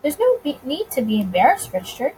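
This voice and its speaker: A woman voicing a suave, confident gentleman bootlegger in a visual novel, with courtly, teasing phrasing. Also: high-pitched, sing-song, brisk, a little hiss or hum, rough recording. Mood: teasing, seductive.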